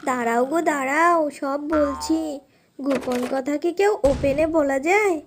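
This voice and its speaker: Woman acting a very high-pitched scheming cartoon fox wife. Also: normal speed, slight background noise, lightly acted. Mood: sly, coaxing. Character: scheming young fox wife, chatty and bossy.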